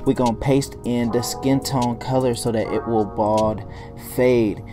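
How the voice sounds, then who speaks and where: A man's voice: medium pitch, unhurried. Young man, laid-back tutorial chatter, music behind. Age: young adult. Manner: hyped barber persona at the start, then relaxed mumbling while working. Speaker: cartoon animator and channel host. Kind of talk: casual tutorial vlog with slang. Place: home studio at desk. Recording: music playing under the voice.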